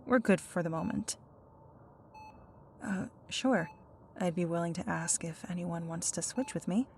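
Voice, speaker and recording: Casting: actress as weary, sarcastic female hero for audio drama, slightly low voice, faint background noise. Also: a little slow, gravelly, a little mellow. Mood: polite, weary. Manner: dry, fed-up sarcasm. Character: exasperated, sarcastic female superhero as injured hospital patient. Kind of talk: ASMR roleplay audio drama.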